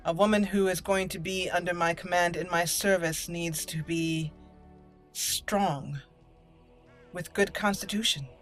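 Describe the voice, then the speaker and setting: A woman's voice: lowish voice, steady tone, a little slow, soft background music. A woman hosting a roleplay let's play, switching between casual commentary and reading character dialogue aloud.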